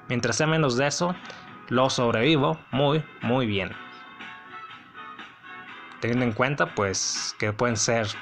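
A man with a Mexican accent hosting a casual solo podcast, telling a personal story in a rambling, sardonic, blunt way. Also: medium pitch. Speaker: podcast host.

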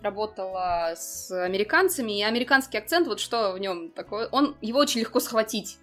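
A woman's voice: medium pitch, animated, brisk, fairly bright tone, faint music behind. A young woman in a casual conversation, explaining at length with lots of fillers. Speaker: interview guest.

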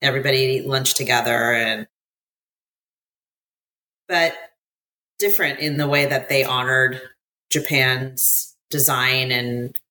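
A woman's voice: extremely low pitch, fairly even intonation, slow pace, long pauses, pushed and bright. An American woman from the United States talking as an interview guest in a chatty way, with many fillers and easy laughs. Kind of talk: casual interview conversation.

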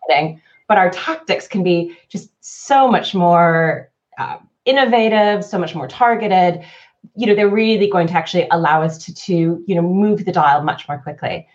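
A woman in her forties with a Canadian accent, slightly softened by years in the UK, speaking as a marketing consultant guest in a webinar interview, fluent and animated in long explanations. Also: lowish voice, moderate pace. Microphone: video call. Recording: lo-fi webinar audio.

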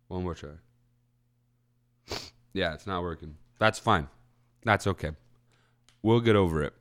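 A young man with a stuffy, congested voice hosting a college radio show, chatting casually and correcting himself as he rambles. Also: sits low. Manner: rambling, self-correcting, clears throat. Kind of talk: casual solo podcast chat. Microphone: studio microphone.

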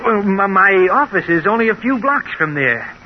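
A man's voice: sits high, lively intonation, moderate pace, slight background noise. Earnest lovesick man, muffled old radio. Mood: eager, persuasive. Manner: earnest, melodramatic, pleading. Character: lovesick unhappily married middle-aged man, earnest and pleading. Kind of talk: 1940s radio drama acting.

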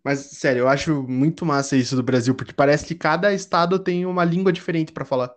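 A woman's voice: sits low, steady tone, brisk. A young woman with a southern Brazilian accent from Curitiba, chatting casually in a slangy, anecdotal way. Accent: Curitiba, southern Brazilian Portuguese. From Curitiba, Paraná, Brazil. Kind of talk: casual chat.

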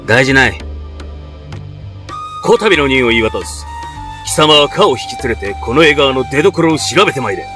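A man's voice: medium pitch, lively intonation, normal speed, long pauses, music in the background, close to an everyday voice. A man playing a dignified feudal lord, stern but caring, with lordly archaic speech in a Japanese period audio drama. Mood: grave, commanding.

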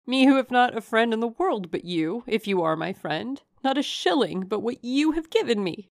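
A woman's voice: on the high side, lively intonation, normal speed, vocal fry, no room sound, studio quality, voice pushed up. A woman voicing a devoted but doubting young woman in an audiobook, earnest, guarded and questioning. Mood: astonished. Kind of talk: dramatic audiobook character reading.

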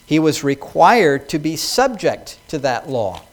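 Man preaching a sermon, fairly high voice. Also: very animated, a little slow. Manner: preaching with rising emphasis, reading scripture aloud. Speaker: pastor. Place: church hall with congregation.

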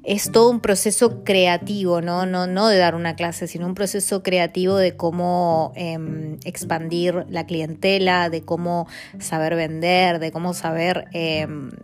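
A woman's voice: fairly low pitch, level tone, unhurried, faint music behind. A woman from Mendoza, Argentina, in her thirties, hosting a casual podcast monologue with an Argentine accent, chatting with lots of fillers and tag questions. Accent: Argentine Spanish. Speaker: podcast host.

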